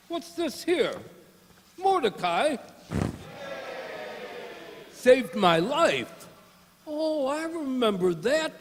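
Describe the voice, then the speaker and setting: Man playing a high-pitched, slangy gangster boss. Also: heavily acted. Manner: old-time gangster slang, blustery. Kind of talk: amateur radio-play acting. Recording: reverberant hall with clear background noise.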